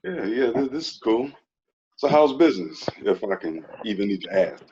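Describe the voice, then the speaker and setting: An actor reading a frank, laid-back twenties ex-athlete who is quietly troubled, slangy and earnest, in a TV drama table read. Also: male voice, on the low side, very animated, moderate pace, slight background noise. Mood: relaxed, friendly. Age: young adult. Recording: dull lo-fi recording.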